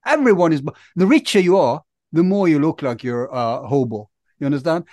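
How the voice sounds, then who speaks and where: Man, halting co-host. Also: fairly high pitch, animated, darkish tone, no room sound, clean studio sound. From Norway. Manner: hesitant, repeats words. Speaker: podcast co-host. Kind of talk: casual conversation. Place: home study with bookshelves, video call. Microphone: headphones and studio mic.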